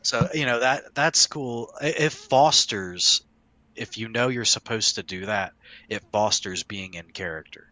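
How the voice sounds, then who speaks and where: A man's voice: fairly low pitch, a little slow, bright, forceful tone, no echo, low-quality recording. A man hosting a casual podcast discussion, explaining his points emphatically with repeated phrasing.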